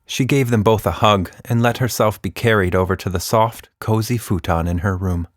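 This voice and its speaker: A man's voice: fairly low pitch, moderate pace, dry recording. A man narrating a children's story expressively as a podcast host, recorded close to the mic.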